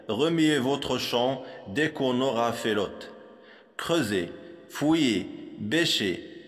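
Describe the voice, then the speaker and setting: Man, poetry recitation, slow pace, didactic, medium pitch, long echoing reverb. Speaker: poem reciter for a primary school lesson.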